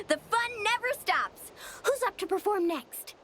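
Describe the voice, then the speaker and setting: A woman voicing an upbeat, playful young female island guide in an animated game, with a bubbly, casual, exclamatory manner. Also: very high-pitched, slow pace, slight background noise, full character voice. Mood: excited.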